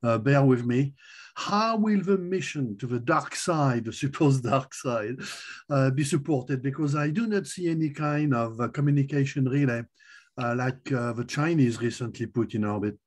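A man's voice: mid-range voice, a little mellow. Man with a thick French accent. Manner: apologetic, halting with many "uh" fillers. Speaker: audience member asking a question. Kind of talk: question on a video call.

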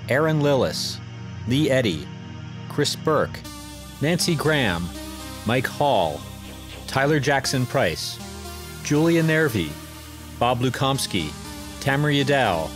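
A man reading scripted closing credits as a show announcer. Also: medium pitch.

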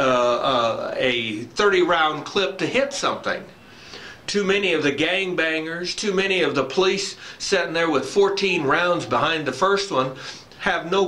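An older man speaks as an instructor in a bright, forceful voice that sits slightly high. He explains informally and repeats his key points. The room is echoey, recorded a few steps from the camera. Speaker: firearms instructor. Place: dim wooden barn or shed, indoors. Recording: echoey room, faint background noise.

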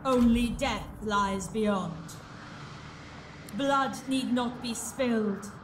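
A woman's voice: fairly high pitch, extremely slow, noisy background. A woman voicing a grave guardian spirit of a crypt door in a video game, giving solemn, archaic warnings. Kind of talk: video game character acting. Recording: echoing, distant, music behind.

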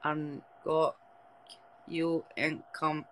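A woman's voice: sits low, drawn-out words, poor audio. A young woman from Germany with a German accent, chatting casually with viewers on a late-night livestream from her bed, talking into a phone held close. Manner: lying down, relaxed, chatty with viewers. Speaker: livestream host. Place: bedroom at night.

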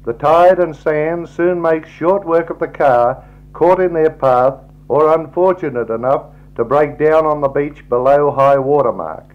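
A man with an Australian accent gives a measured, formal scripted travelogue narration in a 1960s style. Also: a little high. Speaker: slideshow narrator. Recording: dull, lo-fi 1960s tape recording.

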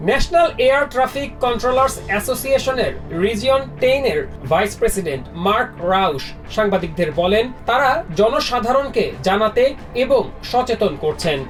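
A woman's voice: on the high side, lively intonation, brisk, recorded from a distance, faint music behind. A woman reporter delivering scripted news narration.

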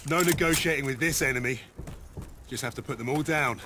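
An actor playing a defiant British soldier with dry bravado and old-fashioned slang in a combat video game. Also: male voice, medium pitch, fairly quick, noisy background, lightly acted. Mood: dry, confident. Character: gruff, defiant British soldier battling the undead. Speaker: player character. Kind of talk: scripted combat game barks. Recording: loud gunfire over the voice.

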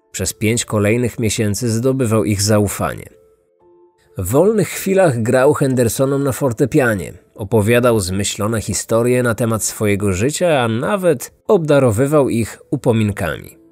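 A man's voice: medium pitch, lively intonation, a little slow, darkish tone, dry recording. Dry, close male true-crime narrator. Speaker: podcast host and narrator. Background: music.

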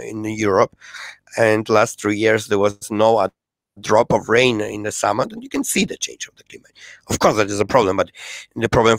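A man's voice: medium pitch, a bit bright, no echo. Polish guy on a headset call, earnest, stops and restarts a lot, dry little room. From Poland. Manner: earnest, halting with many fillers and restarts. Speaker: guest. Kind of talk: casual political conversation. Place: small home room, indoors. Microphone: headset on a video call.

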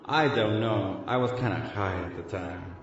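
Man playing a low-voiced street tough, echoey. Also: moderate pace, natural voice. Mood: defensive. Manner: slangy street talk with Spanish touches. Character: dead young street tough turned angel, defensive, regretful big brother. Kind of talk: audio drama acting. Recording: muffled, echoey lo-fi audio drama recording. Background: loud background music.

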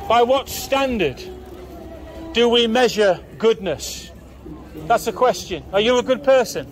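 A man's voice: very high voice, lively intonation, a little slow, a little hiss or hum. A man preaching in the open air on a busy town market street, firing repeated questions at passers-by. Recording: outdoor street with faint crowd.

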